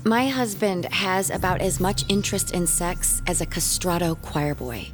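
An actress playing a nervous, secretive unfaithful wife in an audio horror drama. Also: female voice, lowish voice, normal speed, music in the background, close to an everyday voice. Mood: scornful, wary. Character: anxious unfaithful wife in a ghost-hunting team, secretive.

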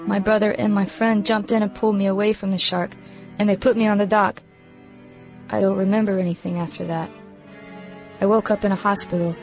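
A woman's voice: mid-range voice, a little mellow, lightly acted. A woman voices a brave little boy in a radio drama. He reads his diary simply and earnestly, with childlike innocence, over faint music. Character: plucky young boy recovering from shark attack, earnest, innocent. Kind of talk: radio play diary monologue.

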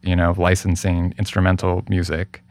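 A man's voice: low-pitched, completely flat delivery, moderate pace, a little mellow. A man as an interview guest, explaining his production work casually and thoughtfully, often repeating words as he goes.

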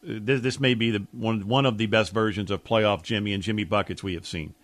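Low-pitched American sports radio co-host. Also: male voice, steady tone, brisk, fairly bright tone, no echo. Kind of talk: casual sports talk and analysis.

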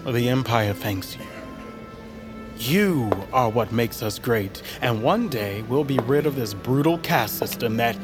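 An actor playing an idealistic, courtly bird-folk gentleman in an audio drama, earnest and prone to stammering. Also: medium pitch, unhurried, close to an everyday voice. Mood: impassioned. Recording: music behind the voice. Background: music.

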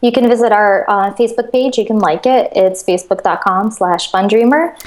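A woman's voice: mid-range voice, a little fast. Enthusiastic woman from Russia on a video call. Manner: enthusiastic, chatty, laughs often. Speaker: guest, crowdfunding platform co-founder. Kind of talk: casual interview chat.

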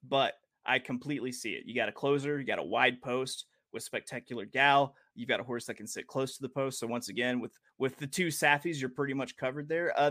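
A man's voice: mid-range voice, a bit bright. A man hosting a horse racing podcast, chatting casually and joking, with many fillers and restarts. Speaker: podcast host and handicapper. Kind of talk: casual chat about horse race betting.

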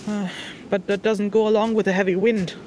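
A woman's voice: mid-range voice, little pitch movement, a little fast, a little mellow, lightly acted. A woman plays a young spirit hunter in an audio drama field log, over faint ambient noise. The delivery is hesitant and musing. There is a wry, teasing streak. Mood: musing, uncertain. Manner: hesitant, thinking aloud, dry teasing.

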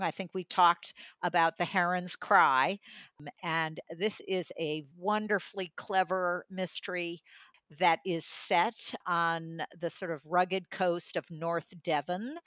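A woman's voice: on the low side, steady tone, measured pace, fairly bright tone, no echo. A woman in a conversational book discussion, speaking as an audiobook reviewer. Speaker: audiobook reviewer and regular guest.

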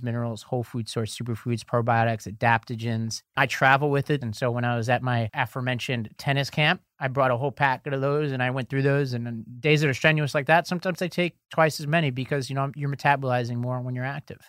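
A man's voice: mid-range voice, a bit bright, dry recording, clean studio sound. A man co-hosting a podcast in casual political discussion, conversational and given to hedging asides. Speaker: podcast co-host.

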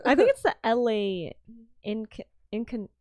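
Woman, lively intonation, informal, agreeable, casual podcast co-host, dry close sound. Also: fairly high pitch, measured pace, no echo. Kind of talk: casual chat.

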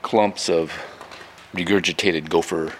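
A man's voice: low voice, fairly even intonation, slow pace, fairly bright tone, a little hiss or hum. A man who farms, talking casually in an interview in a plain, conversational way. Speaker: farmer.

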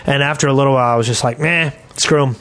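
A man's voice: mid-range voice, steady tone, fast, a bit bright. A man co-hosting a podcast in casual chat, speaking bluntly and jokingly with plenty of opinions. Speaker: podcast co-host.

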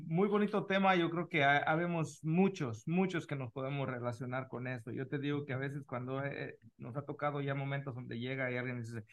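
A man's voice: medium pitch, steady tone, brisk, hardly any pauses. A man hosting a casual podcast conversation, talking in an informal, joking and rambling way with English words mixed in. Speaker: podcast host.

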